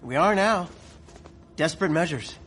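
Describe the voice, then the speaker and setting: Blustery us general, middle aged, folksy and sweary, stammers, radio drama, bit of background noise. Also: male voice, on the high side, lively intonation, moderate pace, fairly bright tone. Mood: conspiratorial, wry. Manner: stammering, exasperated, profane, folksy. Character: blustering, blunt American military intelligence chief, middle-aged.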